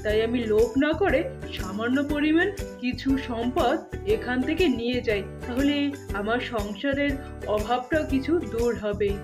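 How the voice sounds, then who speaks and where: Woman playing a high-voiced kindly father bird. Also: normal speed, close to an everyday voice. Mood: amazed. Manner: reassuring, musing aloud. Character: kindly, carefree father bird, modest and honest. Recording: music playing behind voice.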